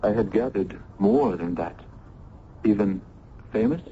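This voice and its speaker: An actor playing an earnest, smitten young student in a radio drama, speaking formally and ardently with florid diction. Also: male voice, on the low side, wildly swooping pitch, unhurried, vocal fry, warm tone, heavily acted. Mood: polite, candid. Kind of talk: old-time radio drama acting. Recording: dull, noisy old radio recording.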